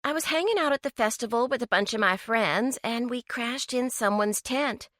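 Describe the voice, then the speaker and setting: A woman's voice: a little high, normal speed, fairly bright tone, no room sound, studio quality, voice pushed up, natural voice. A woman voicing a defiant teenage girl who talks back in a snappy, dismissive way. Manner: snappy, sassy, nonchalant.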